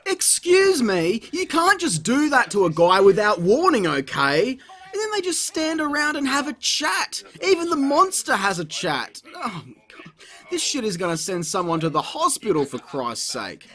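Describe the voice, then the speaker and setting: A man's voice: very high-pitched, wildly swooping pitch, measured pace, fairly bright tone. A man narrating a comedic video review in a sarcastic, exasperated way, with frequent swearing.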